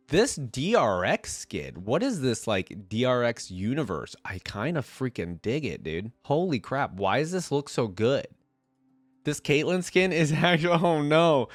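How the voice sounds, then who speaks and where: A man's voice: medium pitch, no echo. A man with a cold giving casual, off-the-cuff reaction commentary as a video host, informal and slangy as he thinks aloud. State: congested from a cold.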